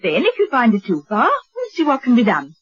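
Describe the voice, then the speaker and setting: An actress playing a determined, brisk wife who waves away fears, in theatrical old-time radio drama style. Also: female voice, a little high, breathy edge, heavily acted. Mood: firm, chiding. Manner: theatrical, expressive, chiding and coaxing. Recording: dull lo-fi old radio recording.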